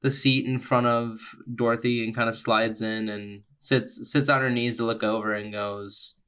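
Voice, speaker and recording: Man with medium pitch narrating roleplay. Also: steady tone, fairly bright tone, clean tone. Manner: halting, conversational. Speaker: tabletop game player.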